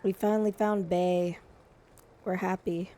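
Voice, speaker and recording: A woman streaming live, chatting casually and gushing, her voice a little hoarse, with frequent restarts. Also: fairly low pitch, steady tone, measured pace, warm tone. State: slightly hoarse.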